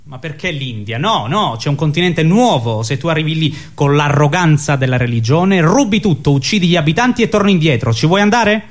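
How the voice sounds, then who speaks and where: A male comic stage performer with a fairly high, animated voice. He delivers absurd sketch dialogue with theatrical, mock-earnest conviction. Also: a little fast, hardly any pauses. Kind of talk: absurdist two-man sketch comedy.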